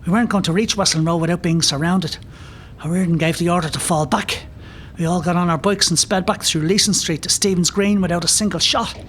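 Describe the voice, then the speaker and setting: Young volunteer fighter, male actor: earnest, high-pitched, audio play over clearly noisy rumble. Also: steady tone, a little fast, fairly bright tone. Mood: urgent. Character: earnest young rebel volunteer, dutiful under fire.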